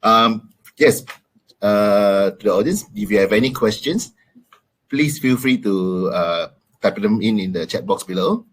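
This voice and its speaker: Husky low-voiced webinar moderator man. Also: lively intonation, unhurried, low-quality recording. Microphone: video call.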